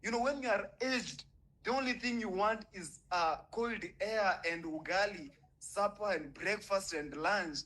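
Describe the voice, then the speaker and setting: A man's voice: high-pitched, measured pace, bright, forceful tone, rough recording. A young man with a Kenyan accent, giving an impassioned, indignant speech in an online audio room. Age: young adult. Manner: fiery, indignant, swearing. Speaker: caller in an online audio space.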